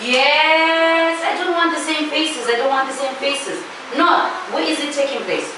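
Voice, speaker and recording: A woman's voice: sits high, measured pace. A woman with a Zimbabwean accent teaching a primary school class, asking questions and insistently repeating them and the answers. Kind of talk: classroom lesson with questions to pupils. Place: classroom, indoors. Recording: echoey classroom, distant mic, clear noise.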